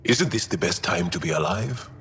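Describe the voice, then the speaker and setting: An actor playing a hearty, philosophical alien comrade with deliberate, emphatic delivery in a video game. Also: male voice, deep voice, animated, a bit bright, in a large hall, a little hiss or hum. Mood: jubilant, warm.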